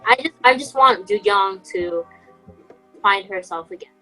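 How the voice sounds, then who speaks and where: A woman's voice: mid-range voice, a little slow, bright, forceful tone, soft background music, poor audio. A young woman co-hosting a podcast, speaking informally and earnestly in a casual conversational style. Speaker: podcast co-host.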